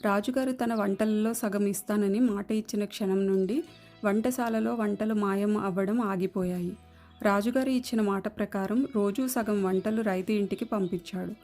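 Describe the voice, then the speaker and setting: A woman's voice: mid-range voice, fairly even intonation, moderate pace, darkish tone. A woman reading scripted narration for a moral story. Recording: music under the voice.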